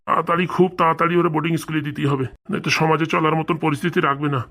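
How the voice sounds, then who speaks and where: A man's voice: fairly high pitch, fairly even intonation, very fast, bright, forceful tone. An actor playing an exasperated, grumbling father in a cartoon comedy, lamenting about his family. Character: exasperated father, ashamed of his wayward son.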